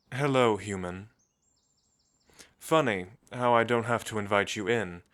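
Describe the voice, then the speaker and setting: A man playing an elegant, centuries-old vampire in an ASMR roleplay, suave and theatrical, with musing pauses. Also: on the low side, a bit bright. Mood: suave, playful. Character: centuries-old elegant male vampire, languid, teasing, world-weary.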